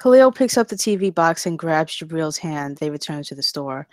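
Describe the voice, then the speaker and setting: A woman with a notably low voice reads screenplay action lines. It is scripted narration for a table read. The sound is dry, with little room around it. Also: expressive, normal speed, a little mellow, no echo. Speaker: stage directions narrator.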